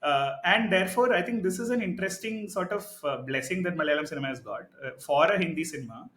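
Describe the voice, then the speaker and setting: A man co-hosting a podcast, talking in a casual, animated, argumentative way and often tagging his points with "right?". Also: high voice, fast talker. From India. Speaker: podcast co-host. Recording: echoey room.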